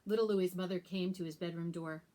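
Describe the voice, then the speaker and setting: A woman hosting a children's storytime as a librarian, reading aloud and talking to young listeners in simple, deliberate phrases. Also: lowish voice, steady tone, mellow.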